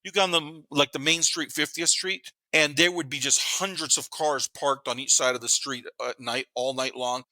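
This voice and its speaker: Bright, punchy man in his fifties. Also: a little high, steady tone, normal speed, few pauses, no echo. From Panama. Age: 50s. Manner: blunt, slangy, profane, repeats words for emphasis. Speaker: podcast guest. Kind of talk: casual chat, storytelling.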